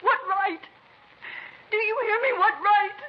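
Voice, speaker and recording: A woman's voice: very high-pitched, steady tone, a little slow. An actress playing a bereaved mother, bitter and anguished, pleading insistently in 1950s radio crime drama style. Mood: anguished, demanding. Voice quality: emotional, pleading, insistent delivery. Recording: dull, noisy vintage radio recording.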